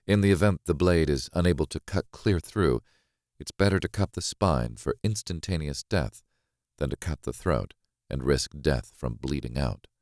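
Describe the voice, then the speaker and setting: A man narrating a thriller novel as a grave, watchful witness, measured and deliberate in his delivery. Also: extremely low pitch, expressive, a little mellow, no echo, clean studio sound. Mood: grave, suspenseful. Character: grave, watchful man recounting a horrific stage act. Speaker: audiobook narrator.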